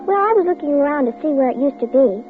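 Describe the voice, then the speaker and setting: A woman's voice: high voice, lively intonation, quick pace. An actress playing an earnest, frightened little girl who narrates and begs to be believed, in a 1950s radio drama. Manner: breathless, pleading, near tears. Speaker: child narrator and lead. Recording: tinny old radio broadcast with music.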